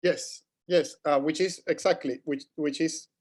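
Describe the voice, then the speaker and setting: Higher-voiced Cuban man, halting delivery. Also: fairly even intonation, a little mellow. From Cuba. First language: Spanish. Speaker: guest, history academic. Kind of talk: scholarly interview conversation.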